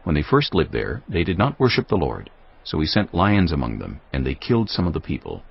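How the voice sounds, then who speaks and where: A man narrating dramatised scripture from a script in a grave, measured, dramatic manner. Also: extremely low pitch, wildly swooping pitch, vocal fry, husky. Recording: dull audio, music underneath.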